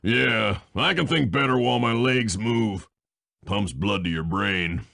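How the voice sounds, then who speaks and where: Gravelly folksy cowboy villain. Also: male voice, lowish voice, measured pace, sharp tone, exaggerated voice. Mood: folksy, scheming. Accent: North American cowboy drawl. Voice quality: gravelly, rough. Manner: folksy, drawling cowboy talk. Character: burly folksy villainous train baron.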